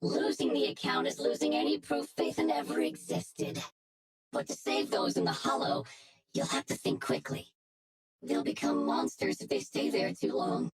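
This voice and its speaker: An actress playing a menacing, smug female hacker in a video game, taunting and commanding, with a breathy, gravelly edge. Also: high voice, very animated, normal speed, music in the background, full character voice. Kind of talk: English video game voice acting.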